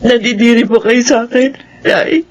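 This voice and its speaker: An actress playing a haunted, guilt-ridden grown daughter in a Filipino radio horror drama, with a Filipino accent and theatrical delivery. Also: female voice, fairly high pitch, normal speed, a little mellow, muffled recording, close to an everyday voice. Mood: guilty, tearful.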